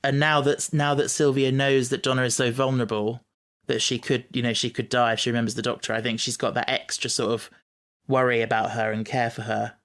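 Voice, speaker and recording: Podcast host, man, mid-pitched voice, casual and enthusiastic fan talk with tentative phrasing. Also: little pitch movement, a little fast, fairly bright tone.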